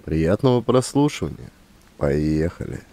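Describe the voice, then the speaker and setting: A man narrating a classic story, measured and expressive, close to the microphone. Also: very deep voice, creaky. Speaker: audiobook narrator.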